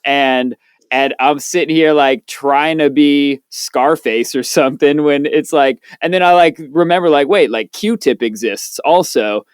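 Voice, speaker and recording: Laid-back swearing man, smooth voice. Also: on the high side, measured pace, no echo, studio quality. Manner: laid-back, swears freely, animated storytelling. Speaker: podcast co-host. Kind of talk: casual chat.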